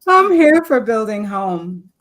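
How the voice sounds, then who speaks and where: A woman in casual, reflective conversation, speaking thoughtfully in halting phrases. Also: fairly high pitch, very animated, a bit bright. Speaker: poet, guest speaker.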